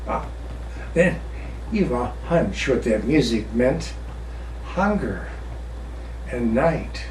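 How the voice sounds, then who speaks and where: Guy reciting a ballad real theatrical, slow n swoopy pitch, far from mic w faint noise. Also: roomy sound. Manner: theatrical, drawn-out, expressive storytelling with pauses. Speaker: poetry reciter. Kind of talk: dramatic recitation of a narrative ballad.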